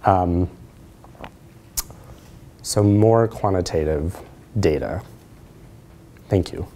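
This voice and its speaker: A man's voice: low voice, very slow, dark tone, slight background noise. Reflective man presenting in a lecture hall. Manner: reflective, asks check-in questions. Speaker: presenter, social work researcher. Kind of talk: conference talk with audience discussion.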